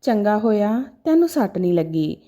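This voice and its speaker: Woman voicing a patient, devoted grown son, mellow. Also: medium pitch, big pitch swings, moderate pace. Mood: gentle, reassuring.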